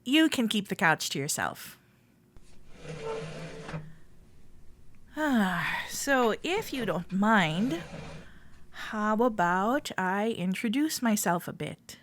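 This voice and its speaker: A woman playing a friendly, teasing club member giving a tour in a roleplay audio, speaking to the listener in a gentle, reassuring way. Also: mid-range voice, sing-song, slow, a little hiss or hum.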